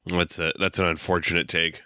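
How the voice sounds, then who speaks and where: A man co-hosting a casual film review podcast, dry and measured with wry humor. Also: deep voice, level tone, pushed and bright, no room sound. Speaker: podcast co-host.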